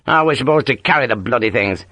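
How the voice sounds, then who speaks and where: Casting note: irritable officer voiced by a man, swearing, lively swings in pitch, dry close mic. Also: fast, dry recording. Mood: irritated. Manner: cursing, spitting words out. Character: gruff irritable army officer, hot-tempered.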